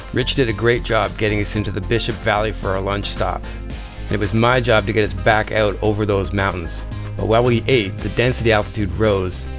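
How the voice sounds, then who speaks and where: A man narrating as a pilot host in a scripted vlog voice-over. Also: on the low side.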